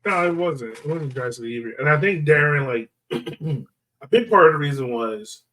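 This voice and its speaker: A man's voice: on the high side, lively intonation, a little fast, fairly bright tone, far from the mic, poor audio. A man hosting a soccer podcast, talking through analysis in a casual, conversational way full of filler phrases. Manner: conversational, peppered with "like" and "you know". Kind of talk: casual sports analysis chat.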